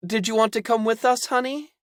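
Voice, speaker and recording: A woman's voice: a little high, steady tone, a little fast, no echo, studio quality, voice pushed up, natural voice. A man voicing a fussy mother who coaxes her son through a closed door. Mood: coaxing.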